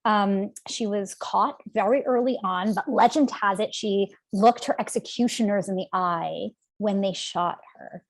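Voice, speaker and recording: A woman in her forties from Canada tells her story as an interview guest, animated and anecdotal, with frequent ums and restarts. Her voice is mid-pitched and the sound is very dry, with no room echo. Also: measured pace, hardly any pauses. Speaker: author guest. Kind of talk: conversational interview answer. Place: home.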